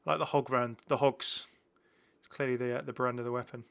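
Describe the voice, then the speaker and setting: A man's voice: medium pitch, fairly even intonation, a little fast, creaky, a bit bright, clear, resonant tone. A British man giving casual, enthusiastic game commentary, rambling and often correcting himself as he goes. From United Kingdom. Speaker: gaming video host.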